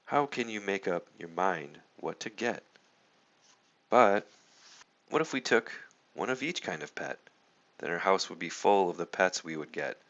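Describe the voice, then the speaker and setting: A man reading a children's storybook aloud in an expressive, playful, rhythmic way. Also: low-pitched, measured pace, highs cut off. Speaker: storybook reader.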